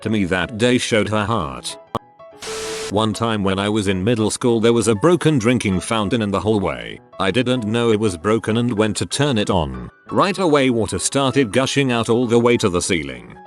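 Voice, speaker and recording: A man narrating forum stories in a steady, even storytelling read. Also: fairly low pitch, moderate pace, soft background music. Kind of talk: scripted narration.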